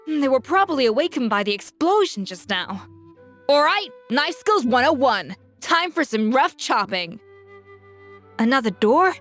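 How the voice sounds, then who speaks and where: A high-voiced confident young chef. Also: female voice, very animated, normal speed, a bit bright, faint music behind. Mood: curious, confident. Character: confident, brisk young female chef, inquisitive and exacting. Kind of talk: video game voice acting.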